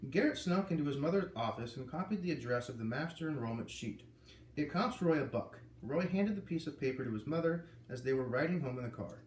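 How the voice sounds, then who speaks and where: Lively man reading a story aloud. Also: fairly quick, distant mic. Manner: lively, voices characters with raised pitch. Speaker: reader. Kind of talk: reading a children's novel aloud. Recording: echoey room with faint ambient noise.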